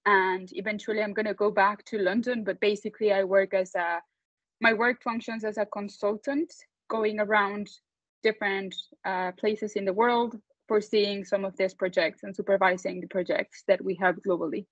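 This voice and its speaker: A woman's voice: medium pitch, moderate pace, no room sound. Mexican-born woman on a dull dry call. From Mexico City. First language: Spanish. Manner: relaxed, frequent fillers and laughs. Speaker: panel guest. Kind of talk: casual online panel talk.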